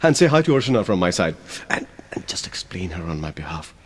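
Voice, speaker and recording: A man's voice: sits low, very animated, quick pace, in a large hall, very noisy. An actor with an Indian English accent playing a hesitant, emotional young man in an audio drama. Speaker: young man in a radio play.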